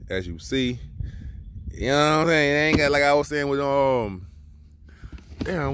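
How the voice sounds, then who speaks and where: A man's voice: medium pitch, a little hiss or hum. A man reviewing sneakers in a casual vlog, talking loose and profane with lots of slang, recorded outdoors on a handheld phone. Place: outdoors beside a car in a yard.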